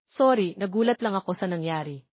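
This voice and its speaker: A woman's voice: medium pitch, animated, fairly quick, breathy edge, dry recording, dull audio. Romance audiobook heroine, read by a woman: young, anxious, guarded, defensive, in a dulled recording.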